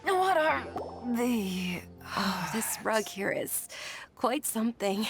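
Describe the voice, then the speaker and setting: High-voiced gentle young noblewoman. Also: very animated, takes it slowly, background noise, heavily acted. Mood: bright, admiring. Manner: polite, earnest, emotional. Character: gentle, earnest young noblewoman, tender-hearted and polite. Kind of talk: anime game voice acting. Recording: music behind the voice.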